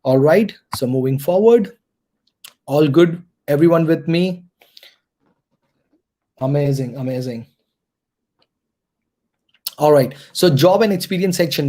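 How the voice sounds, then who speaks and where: A man's voice: on the high side, mellow. A man mentoring students through an online career workshop, repeating key points and checking in with the audience.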